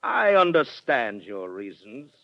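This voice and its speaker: An actor playing a proud, stubborn retired colonel with an American accent, formal and emphatic in 1940s radio acting style. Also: male voice, mid-range voice, very animated, takes it slowly, creaky, no room sound, heavily acted. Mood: bitter, defiant. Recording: dry, muffled 1940s radio recording.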